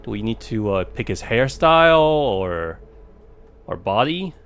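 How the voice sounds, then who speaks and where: Joking, lowish-voiced male game streamer. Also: sing-song, unhurried, fairly bright tone, slight background noise. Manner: joking, talks back to the game. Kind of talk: casual let's play commentary. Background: game music.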